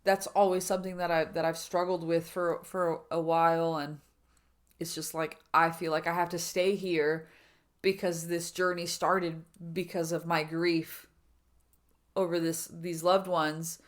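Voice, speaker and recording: A woman's voice: lowish voice, flat delivery, measured pace. A woman in her thirties talking through mixed feelings in a personal vlog, rambling with many fillers and restarts, and acting out her own inner voices in quoted asides. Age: 30s. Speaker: vlogger.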